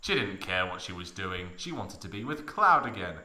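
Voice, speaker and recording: A man's voice: low voice, very animated, normal speed, sharp tone. A man narrating a comic dramatic reading in a mock-serious, theatrical manner with heavy emphasis.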